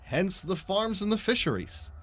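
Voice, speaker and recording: Man with lively, swinging intonation. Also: high-pitched, moderate pace. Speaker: podcast co-host reading an ad. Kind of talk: conversational ad read.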